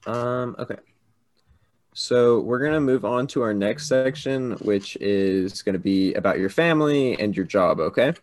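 A patient, encouraging man asks clear interview questions and offers to repeat them. His voice sounds close and dry, with no room around it. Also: fairly low pitch, measured pace, no echo. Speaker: interviewer, English teacher.

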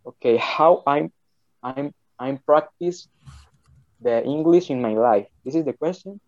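A man from Colombia, a native Spanish speaker, answering interview questions in halting English with many restarts. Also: mid-range voice, darkish tone, no room sound, dull audio. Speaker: English student.

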